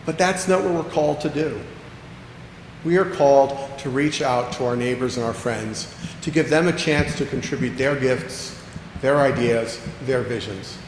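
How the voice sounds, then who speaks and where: A man preaching a sermon as pastor, earnest, slowing down to stress key phrases and repeating lines for emphasis. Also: medium pitch. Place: church sanctuary. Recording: echoey church hall with long reverb, faint background noise.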